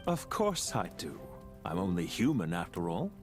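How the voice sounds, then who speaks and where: A courtly magnate, richly inflected. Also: male voice, low voice, huge pitch swings. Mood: composed, pointed. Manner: dignified, deliberate, formal. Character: proud, composed male magnate, calculating and courtly. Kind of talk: scripted video game voice acting. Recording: music under the voice.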